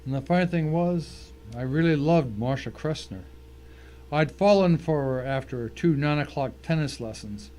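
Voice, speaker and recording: A man's voice: a little high, lively intonation, moderate pace, darkish tone, lightly acted. A man playing an uneasy, cornered tennis pro who narrates his own story in a plain, brooding way. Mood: reflective. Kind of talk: first-person dramatic story narration.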